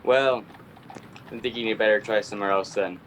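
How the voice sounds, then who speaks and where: A man's voice: lowish voice, fairly even intonation, brisk, slight background noise, lightly acted, rough recording. A man playing a blunt, folksy Scottish donkey cart driver in a radio play, recorded over a home video call. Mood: folksy, discouraging.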